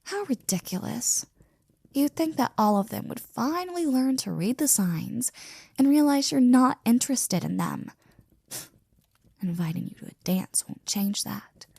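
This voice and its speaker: A woman playing a devoted, flirty and jealous maid in an intimate ASMR roleplay, speaking softly and close to a whisper with teasing, courtly wording. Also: on the high side, animated, unhurried, no room sound, exaggerated voice. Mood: indignant, protective. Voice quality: soft, breathy, often near whispering. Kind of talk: ASMR roleplay, intimate one-sided scene.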